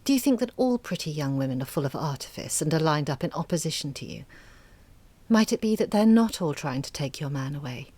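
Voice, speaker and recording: Guarded, reluctant woman, lowish voice, dry close mic. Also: expressive, fairly quick, a little mellow, slightly rough, no room sound. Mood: questioning. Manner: cool, reluctant replies. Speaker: supporting character in audio drama. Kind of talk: audio drama acting.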